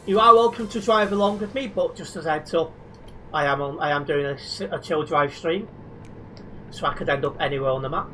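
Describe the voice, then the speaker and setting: A man's voice: a little high, a little fast, a bit bright, soft background music. A man chatting casually to viewers while live-streaming a driving game, reading and answering chat, a little sleep deprived.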